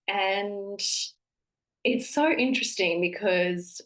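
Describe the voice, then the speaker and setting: A woman in her thirties, a little croaky and congested, hosting a solo podcast about motherhood in an encouraging, conversational way with frequent ums and restarts. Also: medium pitch, takes it slowly. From Australia. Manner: warm encouraging tone with filler-heavy restarts. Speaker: podcast host.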